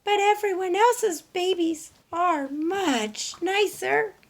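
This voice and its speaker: A woman's voice: very high-pitched, animated, slow pace, pitched above the performer's own voice, exaggerated voice. A woman voicing a fretful, plaintive mother hen in a children's storybook. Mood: wistful, sad. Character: tearful, fretful mother hen who ends up proud.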